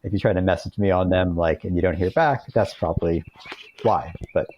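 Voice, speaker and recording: A man's voice: sits low, brisk, a little hiss or hum. A middle-aged American man speaking as a guest author in a conversational interview, explaining things earnestly. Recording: dull lo-fi call audio, roomy echo.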